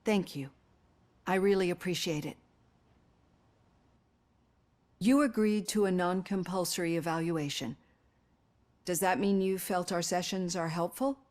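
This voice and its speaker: An actress playing a composed, caring female psychologist in a video game, speaking in a measured, earnest, articulate way. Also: lowish voice, darkish tone, natural voice. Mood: calm, probing. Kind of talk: acted video game dialogue.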